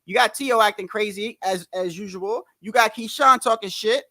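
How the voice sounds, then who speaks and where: A man with a New Jersey accent and a notably high, youthful-sounding voice chats on a livestream. His talk is loose and slangy, circling back and repeating phrases. The sound is dry and close, with almost no room around it. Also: normal speed, sharp tone, no room sound. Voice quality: high-pitched voice. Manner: rambling, slangy, repeats himself. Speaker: boxing commentary livestream host. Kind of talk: casual livestream chat.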